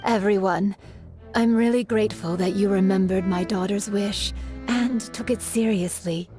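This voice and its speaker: A mid-pitched woman's voice, acted for a courteous, grateful mother in a game. She speaks gently, with music clearly audible behind her. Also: steady tone, normal speed, natural voice. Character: polite, grateful widowed mother, gently correcting. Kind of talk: video game voice acting.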